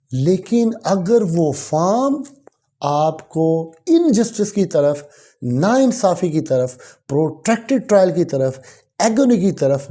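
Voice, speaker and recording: A man's voice: on the high side, warm tone, breathy edge. A man with a Pakistani accent giving an explanatory law lecture, switching between two languages in a didactic, emphatic manner and repeating key phrases.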